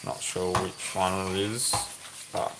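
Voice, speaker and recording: Man hosting a livestream chat, slow and musing, noisy background with dishes. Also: deep voice, level tone. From Australia. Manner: slow, musing, reads comments aloud and ponders. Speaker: livestream host reading chat.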